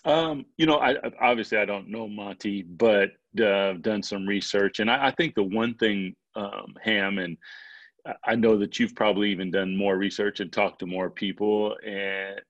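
A man's voice: lowish voice, normal speed, dry recording, highs cut off. A man speaking as a regular analyst on a sports podcast, thinking aloud with hedges and repeated words. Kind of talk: casual sports analysis talk.

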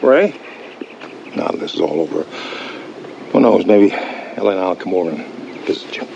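Gravelly, affectionate older patriarch. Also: male voice, sits low, expressive, normal speed. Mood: wistful reconciliation. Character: gruff but affectionate older male family patriarch. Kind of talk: television drama acting. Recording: dull TV clip with outdoor noise.

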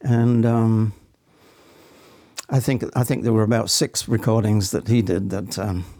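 A man's voice: on the low side, little pitch movement, measured pace, warm tone, no echo, studio quality. A middle-aged man answering interview questions in a thoughtful, hesitant, conversational way. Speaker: stage actor, podcast guest.

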